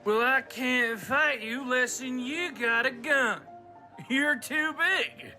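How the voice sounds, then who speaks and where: A student actor playing a belligerent gunslinging bully in an amateur western radio play, with taunting, folksy talk. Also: male voice, very high-pitched, slow pace, pushed and bright, soft background music, exaggerated voice. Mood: goading. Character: belligerent, goading gunslinging bully picking a fight.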